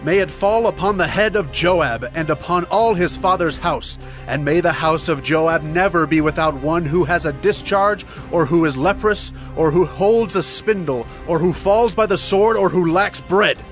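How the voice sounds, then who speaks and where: A man's voice: a little high, moderate pace, natural voice. An actor playing a grieving, righteous warrior king in dramatized scripture, declaiming with solemn emphasis. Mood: cursing, indignant. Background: music.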